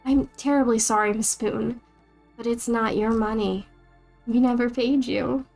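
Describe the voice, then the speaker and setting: A woman's voice: fairly high pitch, steady tone, measured pace, creaky, darkish tone, faint music behind. A woman doing a smug, polite agency operator. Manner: courteous and condescending.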